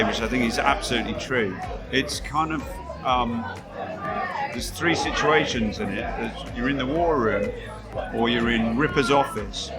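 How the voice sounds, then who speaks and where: A man talks casually in an interview, halting and rephrasing, at a middle pitch. Very loud music and dense crowd chatter surround him. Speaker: interviewee, stage adapter. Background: busy party with music and crowd.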